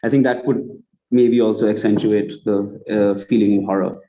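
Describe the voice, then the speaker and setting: A man's voice: lowish voice, fairly even intonation, moderate pace, mellow. A man with an Indian accent giving conversational spoken feedback as a judge on an online call. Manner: conversational, acts out example lines. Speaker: judge giving feedback on student audio plays. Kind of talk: spoken critique on an online event. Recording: dull, lo-fi online call audio.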